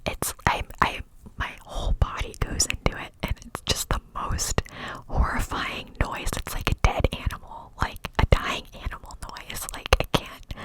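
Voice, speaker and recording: A young woman telling a personal story in a chatty whisper, with many fillers. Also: rough recording. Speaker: vlogger.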